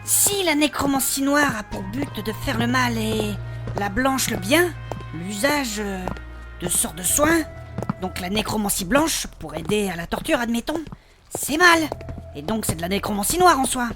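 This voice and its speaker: A woman acts a spirited young adventuress with a very high voice. She is expressive, scolding yet caring, in a comic fantasy audio drama with prominent music behind her. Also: unhurried. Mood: pensive.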